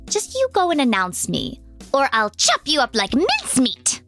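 A woman's voice: high-pitched, wildly swooping pitch, normal speed, music in the background, pitched above the performer's own voice, exaggerated voice. A woman voicing a bold, wily male storybook cat with a theatrical, commanding and sly manner. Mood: cocky, insistent. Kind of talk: children's storybook character voice.

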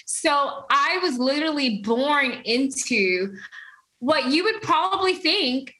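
A woman from Puerto Rico telling her personal story as an interview guest, earnest and animated, laughing now and then. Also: sits high, slow pace, slight background noise, poor audio.

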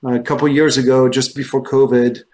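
Middle aged french guy as interview guest, native french speaker, medium pitched voice, chatty rambling anecdote style. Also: level tone, fairly quick, a little mellow. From France. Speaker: guest, investment research executive. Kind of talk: conversational interview answers.

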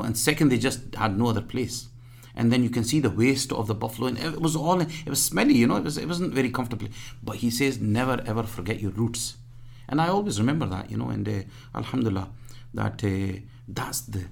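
A man, being interviewed and speaking haltingly with many restarts; English is his third language. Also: medium pitch, gravelly, darkish tone, slightly rough. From Pakistan. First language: Punjabi or other regional language; Urdu second, English third. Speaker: guest. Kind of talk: casual interview.

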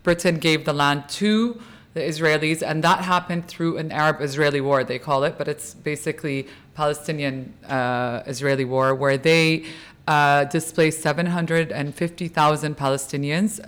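Smooth-voiced woman explaining history. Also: deep voice, moderate pace. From born in Kuwait, raised in California. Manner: steady, explanatory. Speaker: podcast guest.

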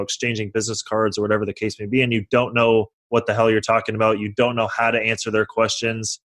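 A man's voice: medium pitch, a bit bright. A young man from California answering interview questions confidently, explaining how he got started and acting out the conversations he had. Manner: confident, explanatory, acts out quoted dialogue. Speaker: guest real estate investor.

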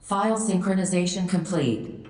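An actress playing a calm, impersonal computer security system in an audio drama, making flat announcements. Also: female voice, on the low side, normal speed, roomy sound, a little hiss or hum, lightly acted.

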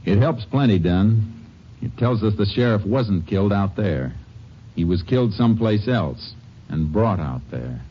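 A steady, shrewd Texas Ranger. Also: male voice, on the low side, measured pace. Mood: calm, probing. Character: calm shrewd male Texas Ranger investigator. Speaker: lead actor. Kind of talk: 1950s radio drama acting. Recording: dull old radio recording with faint hiss.

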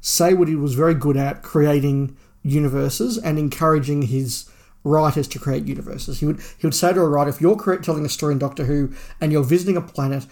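A man's voice: a little high, fairly even intonation, a little fast, darkish tone. A man co-hosting a podcast, discussing a book casually in an analytical, opinionated way with emphatic repetition. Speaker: podcast co-host.

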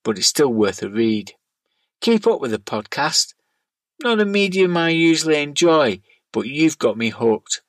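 A man's voice: fairly high pitch, big pitch swings, measured pace, no room sound. A middle-aged man reading scripted narration and listener letters aloud as a podcast host.

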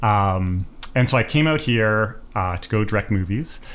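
A man's voice: on the low side, lively intonation, moderate pace, smooth. A Canadian man in his thirties, a filmmaker talking in a reflective, earnest way with many fillers in a casual podcast interview. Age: 30s. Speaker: documentary filmmaker guest.

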